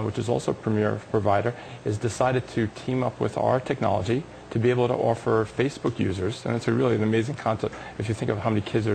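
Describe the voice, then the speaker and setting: Fast-talking, gravelly man pitching technology. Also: a little mellow, slight background noise, poor audio. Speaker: company executive being interviewed. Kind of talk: live business TV interview, promotional explaining.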